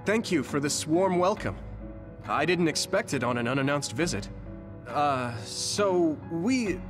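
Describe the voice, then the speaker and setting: A man's voice: a little high, expressive, unhurried. An actor playing an earnest, polite young male squad leader in an English game dub, with music underneath. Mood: puzzled, polite.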